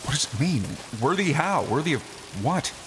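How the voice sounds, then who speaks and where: Man playing a lively, incredulous young adventurer. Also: fairly high pitch, normal speed, big-room sound, a little hiss or hum. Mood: puzzled. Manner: quippy, incredulous. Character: wary, incredulous young male adventurer, quippy and protective. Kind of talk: audio drama acting.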